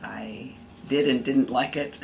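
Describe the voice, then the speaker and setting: Gravelly middle-aged man. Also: medium pitch, fairly even intonation, slow pace, a little hiss or hum, poor audio. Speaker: interviewee. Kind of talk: casual recollection.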